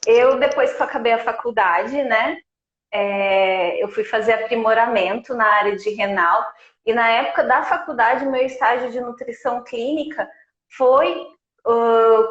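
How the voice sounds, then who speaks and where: A woman of forty, a guest nutritionist telling her story in a conversational way over a remote call. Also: fairly high pitch. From Brazil. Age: 40s. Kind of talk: casual live chat. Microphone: remote video call. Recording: echoey, dull remote call audio.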